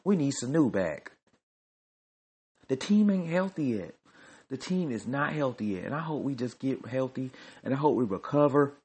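Animated husky man ranting on a podcast. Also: medium pitch, normal speed. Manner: animated, repetitive, joking. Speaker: podcast host. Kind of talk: comedic sports rant.

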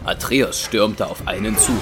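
A man's voice: lowish voice, unhurried, over loud music, close to an everyday voice. A man as the narrator of a heroic saga, reading scripted, solemn audio drama narration. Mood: measured.

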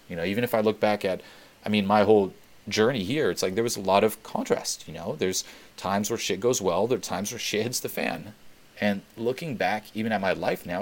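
A young man from Switzerland hosting a webinar Q&A, talking candidly and swearing freely as he repeats points for emphasis. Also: lowish voice. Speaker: webinar host. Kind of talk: casual Q&A talk.

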